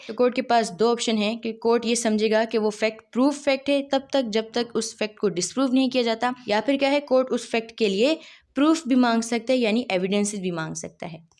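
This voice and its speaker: Higher-pitched Pakistani woman lecturing. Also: a little mellow. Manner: didactic, asks and answers own questions, repeats key phrases. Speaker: law lecturer. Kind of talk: explanatory lecture mixing read-out provisions with explanation.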